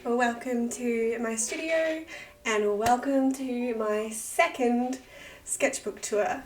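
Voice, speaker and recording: A woman, husky from a cold with a bit of vocal fry, chatting casually and self-deprecatingly through a sketchbook tour. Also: a little high, takes it slowly, darkish tone, faint music behind, low-quality recording. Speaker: artist and channel host.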